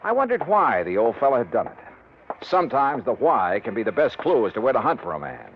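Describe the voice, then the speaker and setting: An actor playing a skeptical, brisk insurance investigator in a hardboiled 1950s radio drama, with a clipped, probing, wry delivery. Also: male voice, mid-range voice, huge pitch swings. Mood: wry narration. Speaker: insurance investigator lead and narrator. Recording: dull vintage radio recording, noisy.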